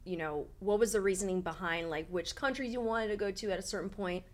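Woman hosting a podcast, polished upbeat presenter voice, mid pitch, asks the questions. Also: quick pace, slight background noise. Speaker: podcast host. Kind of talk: scripted show intro then interview questions.

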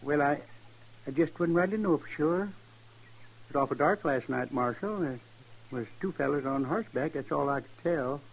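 A mild frontier farmer, patient and meek. His fairly high voice has a folksy, rustic way of dodging, in 1950s radio western style. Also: moderate pace, creaky, low-quality recording. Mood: mild, evasive. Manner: rustic drawl, mild, evasive.

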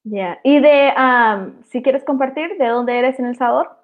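A woman's voice: high-pitched, a little slow. A woman hosting a casual interview, mixing English words into her Spanish. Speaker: host interviewing a guest. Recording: tinny, phone-like call audio.

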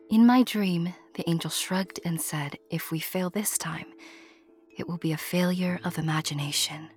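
A woman narrating a scripted story straight to the listener in a playful, intimate, theatrical way, often hushed. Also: on the low side.

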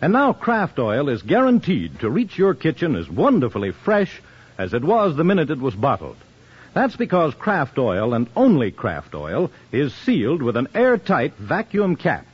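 A man reading a persuasive radio commercial in a smooth, selling manner, on a lo-fi 1950s broadcast. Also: mid-range voice. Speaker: commercial announcer.

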